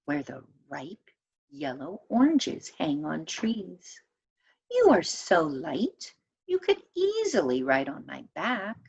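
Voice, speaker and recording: A woman voicing a kindly, knowing thrush in a storybook, explaining gently and reassuringly. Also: on the low side, creaky, slightly rough, lo-fi sound, heavily acted. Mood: comforting.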